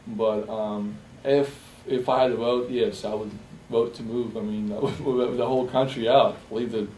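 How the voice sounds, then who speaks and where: A young man from Bosnia in a personal interview, speaking haltingly with many fillers and restarts. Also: medium pitch, steady tone, warm tone. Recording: roomy echo, distant from the mic, faint hiss.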